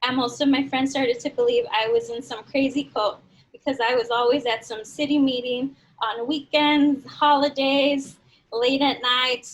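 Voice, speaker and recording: A woman's voice: high-pitched, steady tone, moderate pace, low-quality recording. A young adult woman giving an earnest, motivational personal speech to an audience, her throat a little dry. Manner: earnest, motivational, leads audience in call-and-response. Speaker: speaker at a community event.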